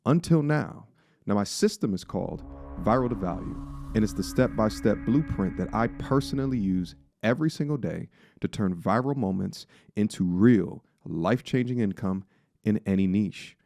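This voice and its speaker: A man's voice: lowish voice, lively intonation, normal speed, vocal fry, over music. A man in his forties giving opinionated reaction commentary in an emphatic, preachy manner, often posing rhetorical questions. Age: 40s.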